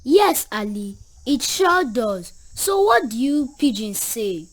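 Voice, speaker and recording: A woman's voice: a little high, sing-song, takes it slowly, no room sound, heavily acted. A woman voicing an adventurous, cheerful seven-year-old girl who guides young viewers in an educational cartoon, encouraging and questioning. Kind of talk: educational children's cartoon voice acting.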